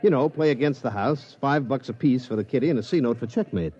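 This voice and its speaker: An actor playing a brash, wheedling hustler at a spaceport, in 1950s radio drama style. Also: male voice, medium pitch, fast talker, warm tone, lightly acted. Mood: pushy. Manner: brash, wheedling street patter. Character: fast-talking, slick male spaceport hustler. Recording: dull old radio transcription.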